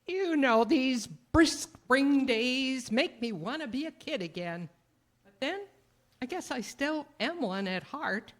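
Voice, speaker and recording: A woman's voice: high-pitched, lively intonation, slow. A woman reading a scripted 1930s-style radio advertisement in a folksy, emphatic manner. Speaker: commercial announcer.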